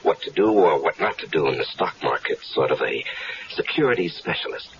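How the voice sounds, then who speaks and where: A wry, easygoing stranger speaks in a very low voice. He tells his story casually, with dry humor. The audio is muffled, like a 1970s radio broadcast. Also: expressive, brisk, creaky, background noise, full character voice. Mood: storytelling. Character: easygoing stranger caught up in a plot. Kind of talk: 1970s radio drama acting. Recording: dull lo-fi 1970s radio recording.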